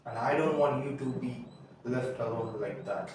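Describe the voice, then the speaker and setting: A young man whose first language is Tamil, telling a halting, earnest confessional story and often restarting his words. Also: medium pitch, slight background noise. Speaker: vlogger. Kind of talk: confessional monologue. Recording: echoey room, mic at a distance.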